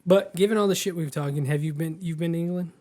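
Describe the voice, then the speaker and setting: Fast-talking, profane podcast host. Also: male voice, a little high, warm tone, no echo. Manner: profane, laid-back banter. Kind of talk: casual chat.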